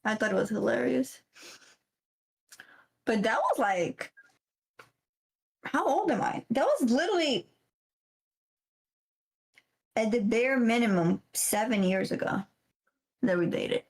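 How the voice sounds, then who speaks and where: A woman from the American South chatting casually on a livestream, blunt and quick to laugh. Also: a little high, very animated, creaky. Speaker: trading stream co-host.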